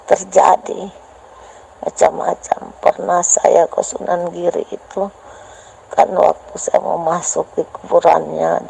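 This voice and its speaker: A woman's voice: on the low side, creaky, warm tone, husky, poor audio. A woman in a voice message, hesitantly telling a teacher about her personal experiences and asking for advice.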